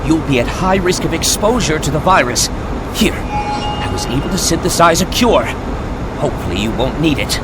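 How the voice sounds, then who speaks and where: A man playing an earnest, urgent soldier in an animated action game cutscene. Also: a little high, normal speed, very noisy, natural voice. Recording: loud music over the voices.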